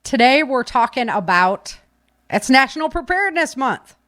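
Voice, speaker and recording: A woman's voice: sits high, big pitch swings, normal speed, fairly bright tone, no room sound, studio quality. A woman hosting a podcast, chatting informally to live listeners in a loose, rambling way. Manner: informal, filler-heavy, rambling. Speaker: podcast host. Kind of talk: casual chat to live listeners. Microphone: webcam stream setup, set back from keyboard.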